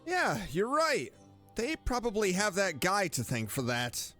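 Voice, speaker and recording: A woman gives casual game commentary as she plays. She takes her time but swings widely in pitch, joking and sceptical in her reactions, with faint music behind. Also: wildly swooping pitch, a little slow. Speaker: streamer playing a story game.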